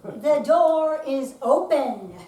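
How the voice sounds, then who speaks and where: A woman's voice: high voice, animated, very slow, dark tone, full character voice. Woman acting a feisty grumbling retiree, echoey room. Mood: sarcastic, self-pitying. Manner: sarcastic, grumbling. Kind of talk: readers theater dramatic reading. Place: live performance in an echoey room. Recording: echoey room, distant mic, faint noise.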